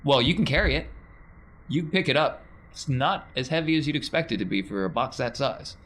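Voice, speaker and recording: A man's voice: mid-range voice, a little fast, a bit bright, slight background noise. A man acting as game master, casually narrating a tabletop game with playful asides. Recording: music behind the voice.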